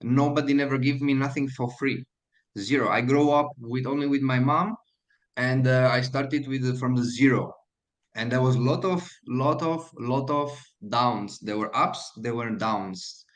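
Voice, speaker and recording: A man from Slovakia speaks English with a Slovak accent over a video call, in a mid-pitched voice. He talks in halting runs, full of fillers and restarts, earnest and encouraging. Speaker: guest speaker, hotel manager. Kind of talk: online Q&A talk.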